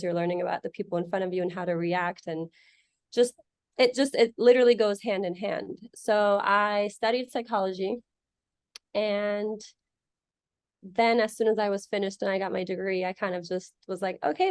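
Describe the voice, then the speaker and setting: A woman's voice: mid-range voice, dry recording, clean studio sound. A young woman from Egypt answering interview questions in a casual, chatty way, with many fillers and restarts. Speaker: guest.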